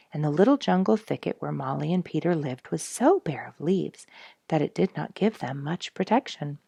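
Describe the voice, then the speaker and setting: A woman reading a children's story aloud as scripted narration, in a gentle storytelling manner. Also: sits low, vocal fry, slightly rough, dry recording, clean studio sound. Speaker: storybook reader.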